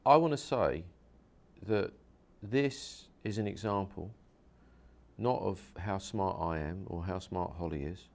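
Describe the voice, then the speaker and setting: A man's voice: low voice, measured pace, a little mellow. A man, a hypnosis practitioner, interviewing a guest and explaining his method earnestly, with many repeats and restarts.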